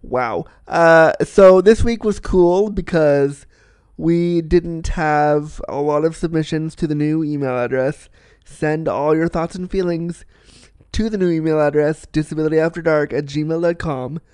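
A man's voice: fairly high pitch, fairly even intonation, a little slow, a little mellow, clean tone, no echo. A man hosting a podcast, chatting casually and rambling, sniffly with a cold. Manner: chatty, rambling, repeats himself. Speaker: podcast host. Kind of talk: casual solo podcast chat.

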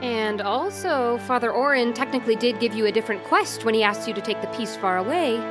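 A poised game master played by a woman spins a fantasy tale with menace and smugness. The voice sits a little high and is dramatically paced. Music plays clearly underneath. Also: animated, a little fast, lightly acted. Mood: dramatic, poised. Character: game master narrating and voicing a smug villain. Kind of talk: fantasy tabletop narration in audio drama.

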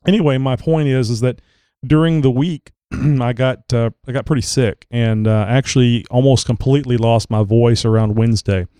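A man hosting a casual online show talks in a loose, rambling way, stopping often on filler words. His voice is mellow and smooth, though a little crackly as he gets over a cold. The sound is dry and close. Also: mid-range voice, fairly even intonation, moderate pace, no room sound. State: recovering from a cold, slight crackle. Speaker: podcast host. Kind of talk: casual chat.

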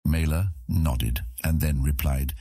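A man's voice: rumbling low, takes it slowly, warm tone, husky, no echo, studio quality. A man reading scripted narration for a fantasy audiobook.

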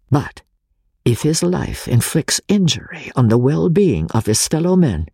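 A man's voice: a little high, dark tone, husky, no echo, studio quality, voice pushed up, heavily acted. A man voicing an intense Italian political refugee confiding a dread secret, grave and oratorical, in a dramatic audiobook reading. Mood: solemn.

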